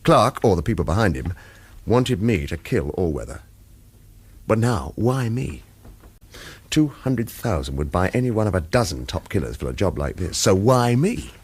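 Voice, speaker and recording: A man's voice: low voice, sing-song, normal speed, darkish tone, husky, heavily acted. An actor playing a wry, wary ex-agent on the run who tells his own story in a terse, hard-boiled radio thriller style. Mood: brooding narration. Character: retired male agent turned wary hunted fugitive, wry. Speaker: lead character and first-person narrator. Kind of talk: radio thriller acting and narration.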